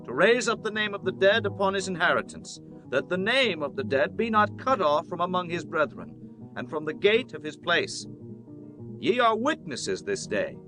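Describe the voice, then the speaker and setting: A man's voice: high-pitched, very animated, normal speed, over music, poor audio. A man playing an earnest, honorable biblical landowner in solemn, declamatory scripture drama. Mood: proclaiming. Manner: solemn, declamatory, archaic formal speech. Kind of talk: dramatized scripture audiobook acting.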